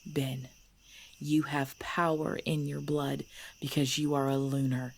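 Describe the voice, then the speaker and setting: A woman playing a centuries-old female vampire clan leader in an ASMR audio drama, composed and commanding, speaking in measured, formal phrases. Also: very deep voice, level tone, vocal fry, heavily acted. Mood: grave. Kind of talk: ASMR audio roleplay acting. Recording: background noise with chirping crickets.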